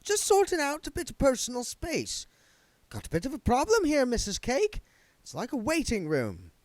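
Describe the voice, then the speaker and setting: A high, reedy male ghost, petulant and always ready to pick a fight. He squabbles with the other spirits in a voice lifted far above the reader's own pitch. The sound is dry and close. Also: wildly swooping pitch, moderate pace, dry recording, heavily acted. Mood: smug. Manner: squabbling, combative.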